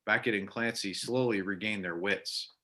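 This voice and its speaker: A man's voice: lowish voice, fairly even intonation, normal speed, sharp tone. A man reading scripted narration and stage directions for a readers theater play.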